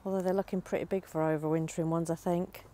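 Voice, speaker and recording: A middle-aged woman chatting casually while she works her vegetable plot outdoors, her voice a little croaky. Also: fairly low pitch, level tone, fast, warm tone, a little hiss or hum. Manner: chatty, down-to-earth, talks while working. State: slightly croaky. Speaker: allotment gardening vlogger. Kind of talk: casual chat to camera. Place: outdoors in allotment and back garden. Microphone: phone camera held while walking.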